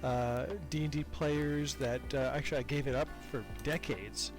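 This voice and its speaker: A man's voice: medium pitch, steady tone, normal speed, music in the background. An older man chatting casually as a podcast guest, talkative and self-deprecating. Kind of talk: casual interview chat.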